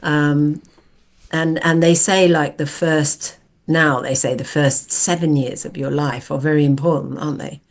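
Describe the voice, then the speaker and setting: Older lady in her 70s, really low voice, thoughtful storyteller on a podcast. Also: steady tone, a little slow, vocal fry. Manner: reflective, storytelling. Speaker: guest. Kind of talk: casual conversational interview.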